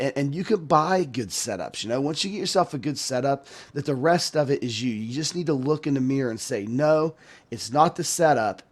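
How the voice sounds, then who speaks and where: A man talks casually to his viewers as the host of a sim racing channel. His voice is hoarse from a sore throat. He rambles and restarts his sentences, and his pitch sits in the middle range for a man. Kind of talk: casual talk to camera.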